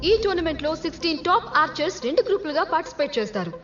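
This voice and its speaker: An actress playing an excited female announcer at an archery championship in a film, with animated delivery. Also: high voice, very fast. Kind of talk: film sports commentary. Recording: dull film audio under loud music.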